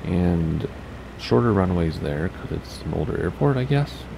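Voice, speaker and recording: Deep raspy man chatting over gameplay. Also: expressive, a little slow, noisy background. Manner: chatty, thinking aloud while playing. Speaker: flight sim streamer. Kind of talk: casual gameplay commentary.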